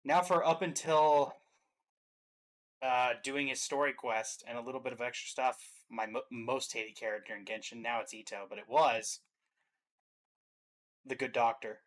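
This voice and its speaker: A man's voice: medium pitch, steady tone, normal speed, pauses often. A man co-hosting a reaction video, giving casual, opinionated commentary on game trailers in a wry way.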